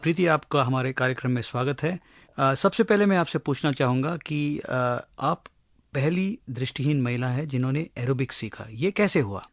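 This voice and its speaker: A man's voice: mid-range voice, normal speed, gravelly, soft background music. A man with an Indian accent asking prepared questions as a radio interviewer, formally, with English phrases mixed in.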